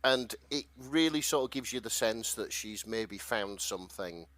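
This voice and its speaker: Man with a lowish voice, chatting. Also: lively intonation, moderate pace, a bit bright. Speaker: podcast co-host presenting a fan fiction story. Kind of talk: casual chat, summarising and reading out a story.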